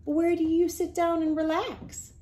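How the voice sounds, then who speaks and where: A woman's voice: very high-pitched, little pitch movement, measured pace, dark tone, pitched above the performer's own voice. A woman voicing a kindly, eager, simple-minded railroad engineer in a cheerful, folksy storybook manner. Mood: eager, caring.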